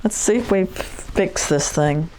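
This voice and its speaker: Low-voiced woman demonstrating in a workshop. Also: slow, a little hiss or hum, poor audio. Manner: relaxed, thinking aloud while working. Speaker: shear sharpening instructor. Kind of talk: hands-on demonstration talk.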